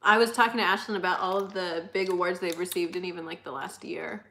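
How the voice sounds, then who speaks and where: This is a young woman with a high, slightly squeaky voice, talking casually on a livestream. She chats loosely, reacts to comments, and laughs easily. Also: fairly even intonation, fast talker, pushed and bright, slight background noise, poor audio. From United States. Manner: chatty, laughs often, reads out comments. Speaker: livestream host.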